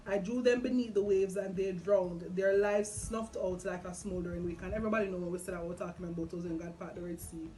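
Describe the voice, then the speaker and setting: A young woman with a Jamaican accent chatting casually about faith and scripture, expressive and slipping between English and Patois. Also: fairly low pitch, fast, few pauses, slight background noise, rough recording. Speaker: vlog host. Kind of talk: casual faith chat, retelling and reading scripture. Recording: music behind the voice. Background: background music.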